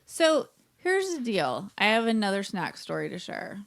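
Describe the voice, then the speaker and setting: A woman's voice: medium pitch, huge pitch swings, normal speed, vocal fry. An American woman co-hosting a podcast, telling rambling, self-deprecating stories in casual chat and swearing freely. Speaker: podcast co-host.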